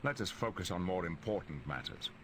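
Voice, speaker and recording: A dignified professor commanding a hero team in a game. The voice is low and expressive, formal and courteous, with faint noise behind it. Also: male voice, fairly quick. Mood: grave, authoritative. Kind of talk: video game cutscene voice acting.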